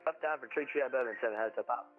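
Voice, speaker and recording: A man's voice: mid-range voice, very fast, phone-quality sound, soft background music. A man speaking as a pilot making casual, somewhat hesitant calls to air traffic control over a radio link. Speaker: virtual pilot.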